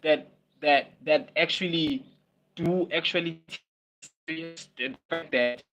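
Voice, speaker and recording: A man's voice: on the high side, steady tone, slow, a bit bright, highs cut off, soft background music. A South African man from South Africa hosting a casual livestream, musing aloud, repeating his phrases and dropping in Zulu words. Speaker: livestream host.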